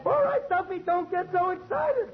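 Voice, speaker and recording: An actor playing a flustered, wisecracking New York tavern manager in a 1940s radio comedy, slangy and grumbling. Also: male voice, extremely high pitch, expressive, normal speed. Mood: flustered, placating. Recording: noisy, muffled 1940s radio broadcast.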